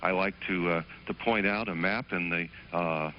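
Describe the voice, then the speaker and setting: A man, a teacher calling in to a television program, answering politely and haltingly with many fillers. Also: low voice, fairly even intonation, slight background noise. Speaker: teacher and call-in guest. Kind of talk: phone interview. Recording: muffled phone line on broadcast.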